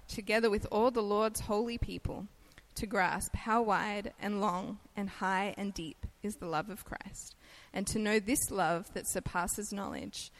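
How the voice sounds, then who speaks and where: A woman with an Australian accent giving a conversational sermon, chatty and quick to laugh at herself. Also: mid-range voice, poor audio. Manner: chatty and self-deprecating, laughs easily. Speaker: guest preacher.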